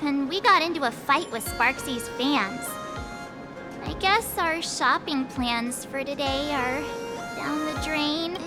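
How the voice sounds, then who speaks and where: A woman playing a perky, girlish young adventurer in a video game, chatting informally. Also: high-pitched.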